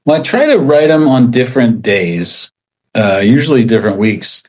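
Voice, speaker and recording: A man's voice: mid-range voice, sing-song, moderate pace, a little mellow. A man talking casually as an interviewed writer, rambling with lots of filler words and a wry, self-deprecating manner. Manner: rambling, frequent "like" and "you know". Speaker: comic book writer being interviewed.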